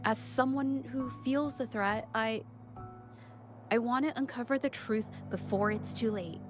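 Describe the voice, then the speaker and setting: Actress as timid young woman, game character, high voice, halting and earnest, soft-hearted, music behind. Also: natural voice. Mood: earnest, wistful. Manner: hesitant, apologetic, halting. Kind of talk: video game voice acting.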